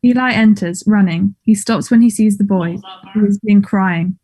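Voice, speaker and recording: A woman's voice: mid-range voice, moderate pace, mellow, rough recording. A woman reading stage directions as scripted narration for a play.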